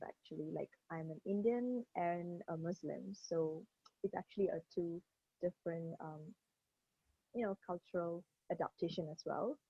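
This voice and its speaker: Gravelly woman on a dull line. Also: lowish voice, no room sound. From Malaysia. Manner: effusive, many fillers like "like, you know". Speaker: interviewer. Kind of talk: casual interview over a video call. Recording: dull dry lo-fi call audio.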